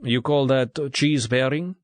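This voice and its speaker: A man's voice: medium pitch, dry recording, voice pushed up, lightly acted. A man putting on a weary Russian agent with a thick accent. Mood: tense, formal. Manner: formal, clipped, halting English. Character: tired, frightened middle-aged male Russian agent.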